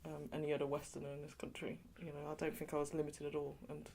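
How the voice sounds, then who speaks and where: A woman's voice: low voice, completely flat delivery, quick pace, creaky, darkish tone, slight background noise. A woman from England being interviewed, talking in a chatty way with lots of "you know" and light laughs. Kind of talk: oral history interview.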